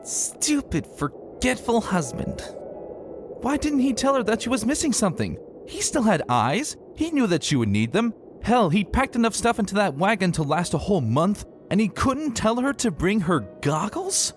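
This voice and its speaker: Man voicing a brash, grumbling pegasus mare, pitched up. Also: sing-song, normal speed, soft background music. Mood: exasperated. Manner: exasperated, cocky, informal. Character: brash, grumbling female pegasus, a young mother. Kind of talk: dramatic fan fiction reading.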